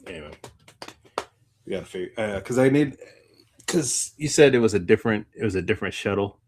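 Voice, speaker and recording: A man with a fairly low voice chats casually as a podcast co-host. He rambles a little and keeps circling back to rework what he is saying. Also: expressive, fairly quick, a little mellow, a little hiss or hum. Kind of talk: casual chat.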